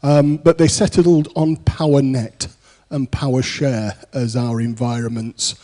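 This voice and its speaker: A British man answering on a conference panel with a mellow, relaxed tone. His talk is loose and full of fillers and restarts. The sound carries the long reverb of a big conference hall. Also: medium pitch, unhurried. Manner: rambling, many fillers and restarts. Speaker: panelist, programme manager.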